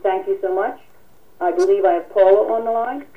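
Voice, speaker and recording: A woman hosting a telephone town hall as a union president, speaking formally and politely with halting delivery while taking callers. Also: medium pitch, narrow, tinny audio. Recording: distant radio-like telephone conference audio. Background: telephone conference call.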